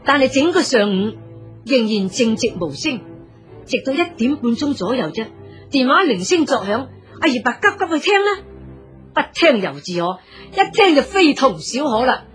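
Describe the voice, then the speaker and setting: A woman with a Cantonese accent narrating a story in a colloquial, dramatic, expressive and exclamatory style. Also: high voice, moderate pace, faint music behind.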